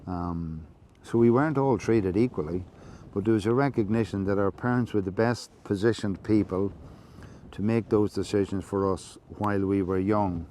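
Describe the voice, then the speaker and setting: An Irish man giving a measured, reflective monologue, explaining things slowly, with many fillers and restarts. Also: fairly low pitch, warm tone, slight background noise. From Ireland. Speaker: podcast host.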